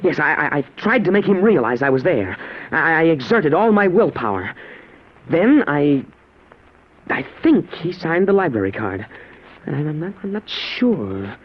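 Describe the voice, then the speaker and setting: Earnest young man, swooping pitch, old radio. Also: big pitch swings, slightly rough, heavily acted. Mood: awed. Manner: halting, stammering, breathless delivery. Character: earnest, awed young man, time-travel experiment subject. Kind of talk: 1950s radio drama acting. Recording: dull vintage radio broadcast recording.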